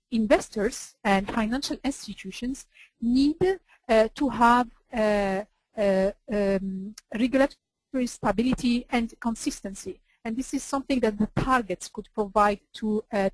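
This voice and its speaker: A woman's voice: fairly high pitch, a little slow, no room sound, rough recording. A woman presenting slides in an online webinar, speaking with frequent hesitations and fillers.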